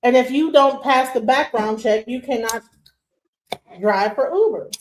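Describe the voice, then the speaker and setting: A woman's voice: a little high, unhurried, slight background noise, low-quality recording. A woman co-hosting a live stream, emphatically explaining and commenting on a video. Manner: emphatic, explaining, counts things out. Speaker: stream co-host.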